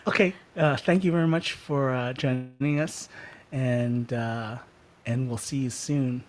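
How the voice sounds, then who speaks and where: A man hosting a podcast livestream, talking casually and haltingly as he searches for words. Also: medium pitch, fairly even intonation. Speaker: podcast host.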